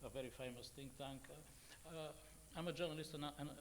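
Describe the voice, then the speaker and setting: A man in his sixties with a Bulgarian accent, speaking off the cuff as a journalist on a conference panel, haltingly and with many fillers and repeats. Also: a little high, fairly even intonation, background noise. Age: 60s. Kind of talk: unscripted panel remarks.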